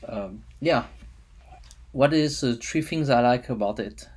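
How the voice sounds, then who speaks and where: A man's voice: mid-range voice, measured pace, a little mellow. A young man from France with a French accent, casually reviewing a series in a rambling vlog full of fillers and restarts. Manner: rambling, many fillers and restarts, chuckles often. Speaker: vlogger.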